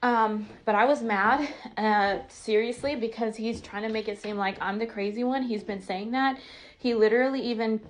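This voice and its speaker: A woman's voice: fairly high pitch, moderate pace. American woman venting, noisy recording. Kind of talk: casual personal storytelling. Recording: clearly noisy recording with clicks.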